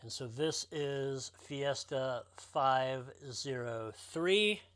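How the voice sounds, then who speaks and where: A man's voice: medium pitch, drawn-out words, music in the background. A man talking casually and enthusiastically to viewers as a collector presenting his show.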